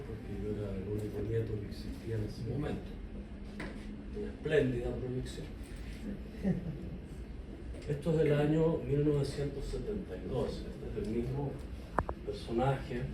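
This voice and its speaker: A man's voice: mid-range voice, recorded from a distance. A man in his fifties with a Chilean accent, whose first language was German, talks through his memories in a digressive, anecdotal way. The mic sits well away from him, so his voice rings around a very echoey hall. Room noise stays plainly audible underneath. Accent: Chilean Spanish. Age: 50s. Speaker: invited speaker at a conference. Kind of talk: personal testimony talk. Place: university lecture hall.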